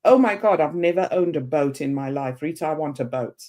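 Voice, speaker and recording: A woman in her fifties from Zimbabwe speaks with a low voice as an interview guest. She tells her story with animation and slips into quoted voices of other people. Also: lively intonation, fairly quick, mellow. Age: 50s. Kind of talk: podcast interview.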